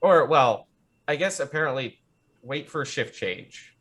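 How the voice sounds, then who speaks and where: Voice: mid-range voice, lively intonation, measured pace. A tabletop game player chatting casually, laying out plans with wry humor. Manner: wry, planning out loud.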